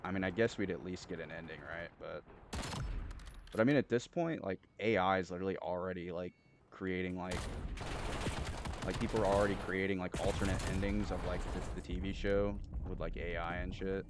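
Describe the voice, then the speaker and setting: A man chatting casually as he streams a game, rambling and repetitive in a halting, slangy way full of filler words. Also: low voice, steady tone. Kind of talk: casual rambling chat while gaming. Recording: gunfire and game noise behind. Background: video game gunfire and clicks.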